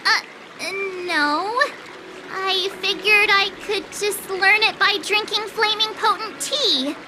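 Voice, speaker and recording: Bubbly, very high-pitched teenage girl. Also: takes it slowly, background noise. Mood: playful, eager. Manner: perky, excitable, playful. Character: bubbly, cocky teenage girl learning swordplay. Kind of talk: anime-style video game voice acting. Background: game music.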